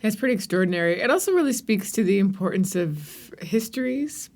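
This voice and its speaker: A woman's voice: mid-range voice, expressive, a little fast, a little mellow. A woman hosting a conversational interview, speaking in an attentive, reflective way. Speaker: podcast host, interviewer.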